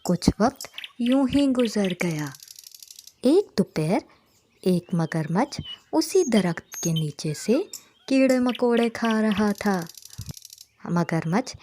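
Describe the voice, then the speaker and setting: Female storybook narrator for cartoons, mellow mid-pitched voice, scripted delivery, faint noise in the recording. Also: animated, slow.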